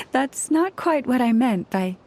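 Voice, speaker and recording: A woman playing a gentle, earnest, kindly young woman in a video game, speaking formally and a little poetically. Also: fairly high pitch, lively intonation, takes it slowly, a little hiss or hum. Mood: gentle, warm. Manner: soft-hearted, formal, poetic. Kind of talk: video game character acting.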